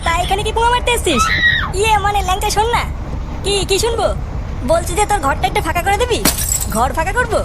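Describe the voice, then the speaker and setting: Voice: very high voice, fast, pauses often. A performer voicing a crude, whiny, scheming cartoon youngster in exaggerated comic dialogue. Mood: scornful. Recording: loud street noise and chatter behind.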